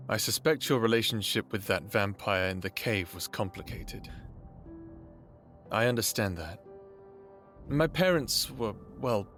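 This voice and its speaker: A man acting a gentle, awkward, sincere human in an audio drama monologue, hesitant and confiding. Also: on the low side. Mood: awkward, apologetic. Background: music.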